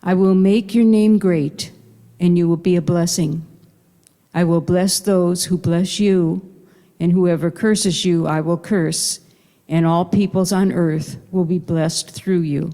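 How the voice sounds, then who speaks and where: A woman from the congregation reading scripture aloud in a measured, steady way. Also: fairly low pitch. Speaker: congregant volunteer reader. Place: synagogue hall. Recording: reverberant hall.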